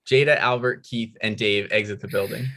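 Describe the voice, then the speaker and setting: Bright-voiced man reading stage directions. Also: on the low side, fairly even intonation, normal speed. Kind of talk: scripted narration.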